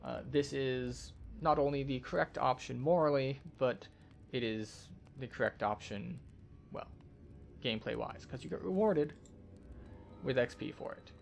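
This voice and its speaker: A man's voice: medium pitch, measured pace, gravelly, slight background noise. A man giving walkthrough commentary on a video game as a tour guide.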